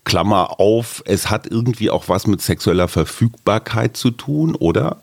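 Very deep-voiced male interviewer, dry sound. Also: expressive, moderate pace, vocal fry, a little mellow, dry recording. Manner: short affirming interjections, asks direct questions. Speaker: host. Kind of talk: conversational interview.

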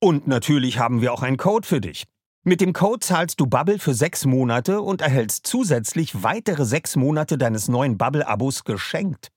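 Lively man reading an ad. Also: mid-range voice, normal speed, breathy edge, no echo, studio quality. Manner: lively, salesy. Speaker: ad reader. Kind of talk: upbeat scripted ad read.

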